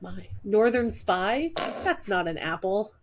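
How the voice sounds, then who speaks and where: A woman's voice: mid-range voice, very animated, slow pace. Stuffy-nosed woman podcaster. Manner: wry, reads names and facts off a post. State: stuffed up from allergies. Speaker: podcast co-host. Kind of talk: casual chat, reading a list aloud.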